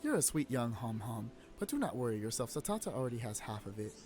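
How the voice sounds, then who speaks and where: A man lightly puts on a small furry creature from a fantasy game, sentimental and earnest. The pitch moves about a lot, with faint game music underneath. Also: big pitch swings, quick pace, a little mellow, lightly acted. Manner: reads game dialogue aloud with a light put-on voice.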